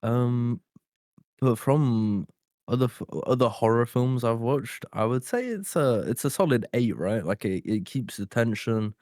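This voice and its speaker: A man's voice: medium pitch, expressive, measured pace, darkish tone, no room sound, studio quality. A man co-hosting a podcast, chatting casually and informally about films in tentative phrasing. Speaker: podcast co-host. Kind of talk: casual chat, film discussion.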